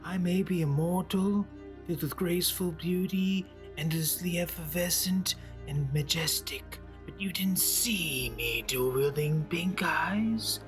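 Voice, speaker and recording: A woman acts a haughty, melodramatic immortal in a comedy skit. The voice is low and unhurried, stately and self-important, with music clearly behind it. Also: natural voice. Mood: haughty, aggrieved. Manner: pompous, slow. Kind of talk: theatrical comic monologue.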